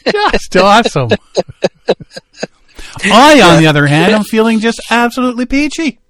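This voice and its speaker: A man hosting a radio show in easy banter, teasing his co-host and laughing often. His voice sits a bit high and swings widely in pitch. It sounds close and dry, with no room around it. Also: expressive, fairly bright tone, no room sound. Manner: joking, teasing, quick to laugh. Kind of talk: casual banter on a podcast. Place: studio.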